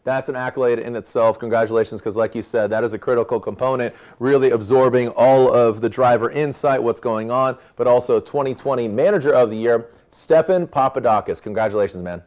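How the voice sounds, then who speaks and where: A man hosts a virtual awards show in a loose, upbeat way. His voice sits at an ordinary middle pitch. He talks casually and throws in slang. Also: a little fast, few pauses, a little mellow.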